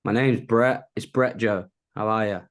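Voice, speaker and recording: A man's voice: fairly low pitch, steady tone, moderate pace. A man from the UK speaking casually as a participant in an online class on a video call.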